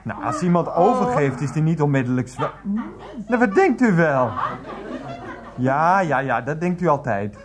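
A man's voice: fairly high pitch, moderate pace, a crowd in the background, natural voice. Man acting a henpecked mama's boy husband in a radio play, flustered, voice jumping up and down. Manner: placating, flustered, excitable. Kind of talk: 1990s radio comedy drama acting.

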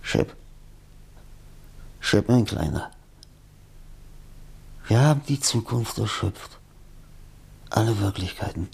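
An actor in a radio play as a dying, feverish, broken writer, husky and gravelly, speaking in halting fragments. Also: male voice, fairly low pitch, animated, darkish tone, heavily acted. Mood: weary, bitter. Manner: halting, murmuring, fragmented speech.

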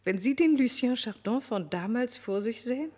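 An actress playing an aristocratic society lady in a German radio drama, flattering, amused and calculating, with theatrical, coquettish emphasis. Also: a little high, normal speed, breathy edge. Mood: flattering, confiding.

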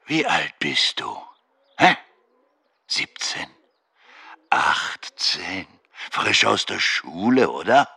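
A sadistic wartime soldier in a radio drama. The voice is very bright and hard with a gravelly creak, and he drags out his taunts with sneering condescension. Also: low-pitched, big pitch swings, extremely slow, long pauses, dry recording, heavily acted. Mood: sadistic taunting. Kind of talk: German radio drama acting.

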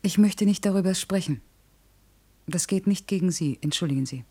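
A woman's voice: fairly low pitch, moderate pace, dark tone, slightly rough. An actress playing a proud, stubborn working single mother in a radio play, clipped, blunt and defensive. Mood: guarded. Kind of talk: German radio play acting.

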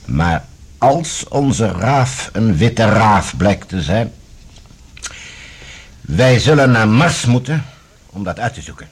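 An actor playing a cautious, formal general and colonial governor in a science fiction radio drama, speaking with measured authority. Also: male voice, fairly low pitch, sing-song, husky, full character voice. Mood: measured, courteous. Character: commanding, cautious male general and newly appointed colonial governor.

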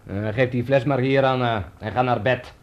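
An actor in a radio play voicing a weary Spanish man who is haunted by memories, speaking in a brooding, theatrical, halting way. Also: mid-range voice, fairly even intonation, close to an everyday voice. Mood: wry, teasing. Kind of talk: radio drama acting. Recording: noisy radio drama recording.

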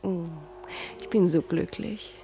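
An actress playing a dreamy, anxious female star in a German radio play, with breathy, drawn-out, theatrical phrasing. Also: lowish voice, steady tone, mellow. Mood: playful, rapturous. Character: dreamy, anxious female star, kept darling, former Brooklyn dishwasher.

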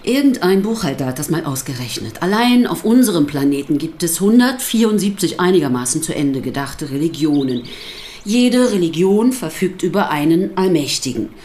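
A pastor's wife in a radio drama. Her voice sits a little low, and she speaks in a dry, articulate way, sceptical and dismissive of what she is asked. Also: sing-song, normal speed, warm tone, a little hiss or hum. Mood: sardonic, lecturing. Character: sceptical, sardonic pastor's wife under questioning. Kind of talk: naturalistic radio drama acting.

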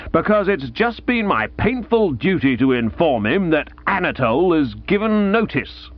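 A man's voice: a little high, sing-song, moderate pace, lo-fi sound, voice pushed up. A man voicing an exasperated middle-aged aunt who scolds her nephew theatrically. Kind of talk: audiobook character voice.

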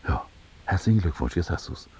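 A man's voice: low-pitched, lively intonation, a little fast, mellow, husky, no room sound, lo-fi sound, full character voice. An actor playing an irritable, boozy, suspicious man in a German radio play, speaking bluntly and impatiently in everyday colloquial language. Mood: stunned.

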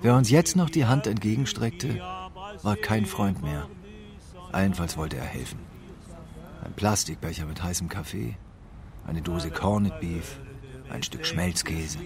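A man's voice: low-pitched, expressive, unhurried, long pauses, crowd noise. An actor playing a weary, embittered homeless man, narrating with brooding reflection in an intimate radio drama. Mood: bleak, grieving. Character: weary, embittered homeless man mourning his best friend.

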